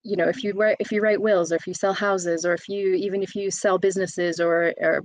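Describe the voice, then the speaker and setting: A woman's voice: medium pitch, fairly even intonation, brisk, no room sound. A woman, an employment solicitor in her thirties, talking openly and chattily as an interview guest, with plenty of fillers and restarts. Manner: open, chatty, frequent fillers and self-restarts. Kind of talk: candid conversational interview.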